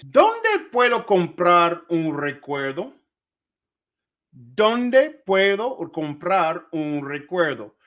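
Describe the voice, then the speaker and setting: A young man leads a language lesson. His voice rises and falls a lot, sits a little above the usual male pitch, and takes its time. He switches back and forth between two languages as he repeats each phrase. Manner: animated, repeats phrases slowly, jumps between Spanish and English. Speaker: Spanish teacher.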